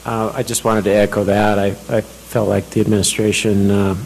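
A man with a low, gravelly voice offers a short comment at a council meeting. He speaks softly and trails off, with a faint hum in the background. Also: flat delivery, moderate pace, low-quality recording. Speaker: council member. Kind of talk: formal meeting remarks.

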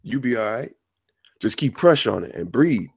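A man's voice: mid-range voice, animated, moderate pace, creaky, darkish tone, breathy edge, dry recording, clean studio sound. A man playing a tough, protective getaway driver who talks in clipped street slang at a script read. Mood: urgent, steadying. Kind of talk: script table read acting.

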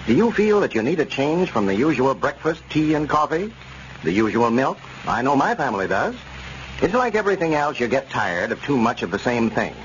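A man's voice: medium pitch, very animated, fairly quick. A man with an American accent announcing and narrating in the scripted style of vintage radio. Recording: muffled, noisy old radio broadcast.

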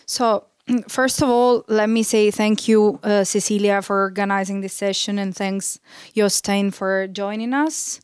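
A woman in her thirties responding to a book at a panel event, speaking carefully into a handheld mic with frequent pauses and restarts. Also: mid-range voice, fairly even intonation, a little mellow, smooth. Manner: careful, hesitant with many uhs and restarts. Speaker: discussant at a panel. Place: event stage in a large room.

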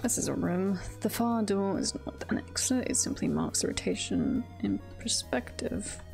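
A woman's voice: medium pitch, huge pitch swings, moderate pace, creaky, a little mellow, soft background music. A woman streamer giving casual live game commentary, thinking aloud and chattily repeating phrases to herself. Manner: chatty, self-talking, often repeats phrases.

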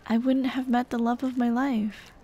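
A young woman voicing a dead girlfriend's caring, gently nagging ghost in an ASMR roleplay drama, tender and coaxing. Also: on the high side, little pitch movement, normal speed, muffled recording, lightly acted. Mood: fondly nostalgic. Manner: tender, coaxing, soft-hearted scolding. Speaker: roleplay voice actress.

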